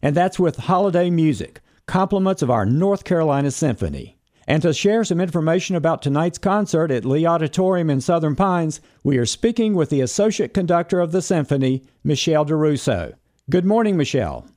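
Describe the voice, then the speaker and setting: A man hosting a morning broadcast interview, speaking in a smooth, measured way with a few hesitant fillers. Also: fairly high pitch, big pitch swings, darkish tone. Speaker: morning show host.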